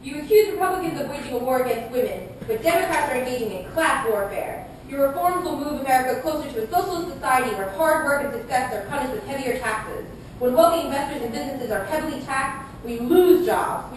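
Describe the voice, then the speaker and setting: A woman playing a combative male conservative presidential challenger, making accusatory scripted speeches in a puppet show debate. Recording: echoey room, distant mic, rumbling noise.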